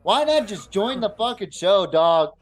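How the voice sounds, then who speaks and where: A man hosting a casual, unscripted sports podcast, swearing freely and speaking bluntly in slangy, halting phrases that often repeat. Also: sits high, sing-song, soft background music. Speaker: podcast host.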